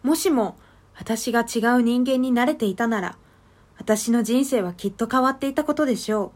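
A woman playing a sorrowful, self-loathing character in a radio drama monologue, gentle, earnest and confessional. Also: on the high side, normal speed, natural voice. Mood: wistful.

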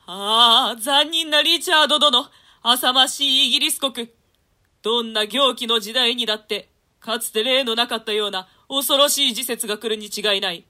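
A woman's voice: a little high, moderate pace, sharp tone. A woman playing a doomed, remorseful nobleman in a declamatory, archaic dramatic reading of Shakespeare. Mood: bitter despair. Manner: archaic, declamatory, swelling with emotion. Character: doomed, remorseful nobleman lamenting his own folly.

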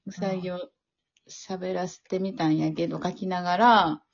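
A woman's voice: on the low side, steady tone, normal speed, vocal fry. A woman with a Kansai accent talking casually about her writing in a group chat, on a dull recording. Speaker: amateur radio drama scriptwriter. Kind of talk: casual group after-talk.

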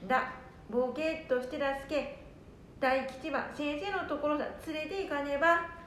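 Woman acting scatterbrained old Nanbu-dialect granny, high-voiced, echoey. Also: normal speed, a bit bright. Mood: proud, stubborn. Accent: Nanbu dialect, northern Tohoku. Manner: rambling, muttering to herself. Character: scatterbrained, hasty, forgetful old grandmother, cheerfully stubborn. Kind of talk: amateur radio drama acting. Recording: echoey room, background rumble.

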